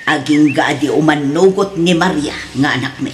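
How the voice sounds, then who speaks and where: A woman's voice: low-pitched, moderate pace, over loud music, lightly acted. An actress with an Ilocano accent playing a stern older mother protecting her daughter in a radio drama. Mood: firm, reproachful. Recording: echoey room, faint noise.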